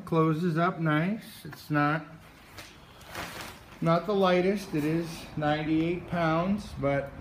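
A man from New Hampshire giving a casual, halting walkthrough into a handheld phone, with many pauses and restarts. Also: a little high, roomy sound, a little hiss or hum. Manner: slow, halting delivery with many uh's and restarts. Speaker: outdoors vlogger. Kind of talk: casual show-and-tell walkthrough. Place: garage, then outdoors by a driveway. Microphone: handheld phone camera, moving around.

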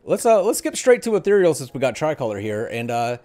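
A man's voice: medium pitch, very animated, fairly quick, a little mellow. A middle-aged man hosting a casual gaming podcast in an informal, jokey way. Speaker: podcast host. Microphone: headset.

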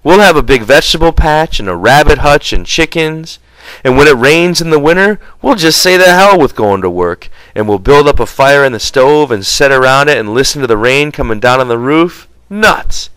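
A man's voice: medium pitch, animated, moderate pace, a bit bright, clean tone, dry recording, voice pushed up, close to an everyday voice, low-quality recording. A man voicing an impatient but protective migrant ranch hand in an audiobook, with blunt, folksy rural speech. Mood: irritated.

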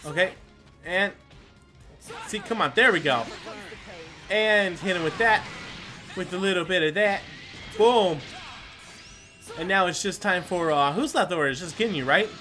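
A man's voice: high voice, unhurried, pauses often, bright, forceful tone, faint music behind. A man hosting a gameplay video with casual, rambling commentary, full of exclamations and mild swearing. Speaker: gaming video host.

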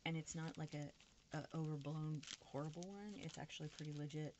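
A woman with a creaky voice rambles casually and candidly to her viewers, poking fun at herself and half talking to herself as she crafts at a table. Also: steady tone, brisk. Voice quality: low, creaky voice. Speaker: craft vlogger. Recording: noisy room recording with background hiss.

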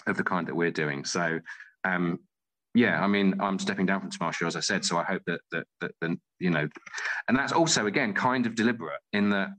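A man speaks as a guest in a conversational interview. His voice is very low yet bright and forward. He talks thoughtfully, circling back over phrases as he works out his answer. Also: fairly quick, low-quality recording. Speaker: interview guest, playwright and theatre director.

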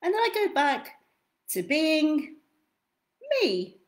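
A young woman reading picture books aloud to children in an expressive, theatrical way, drawing out key words. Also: sits high. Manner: theatrical, deliberate, drawn-out delivery of key words. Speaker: children's storytime reader. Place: small indoor room at home.

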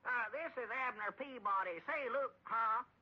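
An actor playing a muddled, gullible old storekeeper with a rural Southern American accent, in a folksy, rambling radio comedy style. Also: male voice, very high-pitched, moderate pace, slightly rough. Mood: flustered. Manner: rambling, folksy, easily confused. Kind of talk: 1930s-40s radio comedy acting. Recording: dull old radio recording.

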